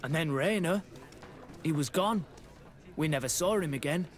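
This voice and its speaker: Casting: male actor as eager young game recruit, higher voice, hesitant and stammering, very deferential to superiors. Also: moderate pace, natural voice. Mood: nervous, confiding. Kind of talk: video game cutscene acting.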